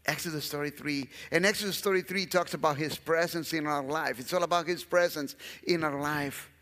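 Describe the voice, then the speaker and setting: Guatemalan pastor preaching in a big hall. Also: male voice, on the high side, a little fast, a bit bright. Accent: Guatemalan Spanish. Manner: earnest, repeats phrases, walks the stage. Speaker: guest pastor. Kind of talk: sermon. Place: large church stage. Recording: long church hall reverb.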